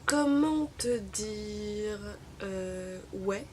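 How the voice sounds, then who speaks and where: A woman's voice: medium pitch, animated, drawn-out words, slight background noise. A young woman from Morocco, Arabic-speaking by birth, chatting wryly to camera with many hesitations. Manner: wry, chatty, full of hesitations. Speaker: vlogger. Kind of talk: casual chat to camera.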